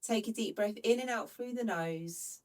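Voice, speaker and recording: A woman leads a slow Pilates class in a gentle, steady voice, her nose a little stuffed up from hay fever. Her voice is picked up from some distance from the mic. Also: medium pitch. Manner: calm, gentle cueing. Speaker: Pilates instructor. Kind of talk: guided follow-along workout instruction.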